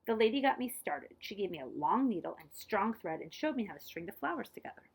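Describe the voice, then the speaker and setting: A woman reading a children's picture book aloud in an expressive, dramatized way, dropping to hushed phrase endings and adding short asides. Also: mid-range voice, brisk, gravelly, slightly rough, poor audio.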